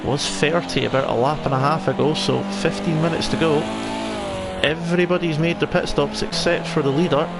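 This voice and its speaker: Scottish man commentating over loud engine noise. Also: fairly high pitch, steady tone, brisk. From Scotland. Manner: conversational, friendly asides to viewers. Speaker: esports racing commentator. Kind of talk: live sim racing commentary mixed with casual chat to viewers. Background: racing game car engines.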